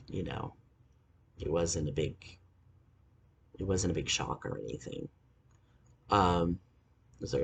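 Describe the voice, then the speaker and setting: A man in his forties telling a personal story to camera, halting with fillers and angry swearing. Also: deep voice, husky. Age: 40s. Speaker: vlogger. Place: indoors, dim room.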